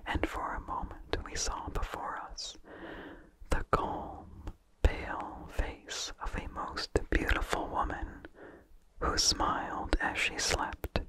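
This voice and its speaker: Slow, whispered ASMR storyteller. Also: rough recording. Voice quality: breathy, unvoiced whisper. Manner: slow, deliberate, hushed delivery with long pauses. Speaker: ASMR host reading a vintage horror story. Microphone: close microphone with incidental mouth sounds.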